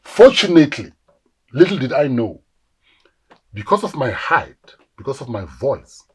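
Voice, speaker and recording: A South African man in his forties talks through his career in a relaxed interview. His voice is husky and mid-pitched. He tells his stories with energy and acts out the voices of the people he quotes. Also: a little slow. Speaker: actor guest. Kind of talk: casual interview storytelling.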